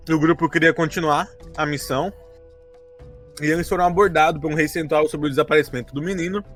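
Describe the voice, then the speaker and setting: A Brazilian man chatting casually on a podcast as he recaps a tabletop game, joking and quick to laugh. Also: fairly high pitch, fairly bright tone. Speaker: game master of a tabletop RPG campaign, podcast member.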